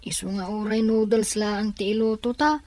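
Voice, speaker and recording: A woman acts a subdued older orphan child in a family drama. The voice is mid-pitched and recorded very dry, with a hesitant, cautious, hurt delivery. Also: fairly even intonation, normal speed, darkish tone, no room sound, lightly acted. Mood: resigned. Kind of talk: Ilocano audio drama acting.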